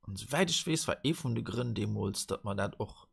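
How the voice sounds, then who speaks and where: A man with a Luxembourgish accent and a gravelly voice, hosting a casual retro tech show and reading out old articles with many fillers and restarts. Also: fairly low pitch, expressive, brisk. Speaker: host.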